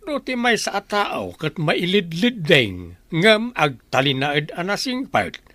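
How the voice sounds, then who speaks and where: A man's voice: high voice, sing-song, slow, fairly bright tone, no echo, exaggerated voice. A man playing a pious villager who admonishes others earnestly with rhetorical questions in an Ilocano drama. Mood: earnest, admonishing. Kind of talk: Ilocano radio-style drama acting.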